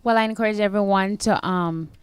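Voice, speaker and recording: A woman's voice: medium pitch, a little fast, clean tone, no room sound, clean studio sound. A woman talking conversationally as a guest on a radio show. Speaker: studio guest, actress. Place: radio studio.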